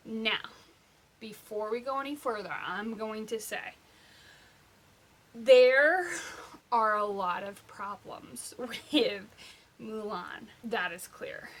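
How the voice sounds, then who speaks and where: A young woman hosting a pop culture vlog, chatting casually in an animated, halting, informal way with emphatic asides. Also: mid-range voice, slight background noise. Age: young adult. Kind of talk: casual opinionated vlog chat.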